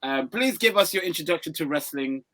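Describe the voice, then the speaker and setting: A man hosting a podcast over a video call, asking guests questions in a chatty way and repeating quick fillers. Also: on the high side, expressive, fairly bright tone, dry recording. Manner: chatty, repetitive fillers like "okay, okay" and "cool, cool". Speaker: podcast host. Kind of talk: casual podcast interviewing.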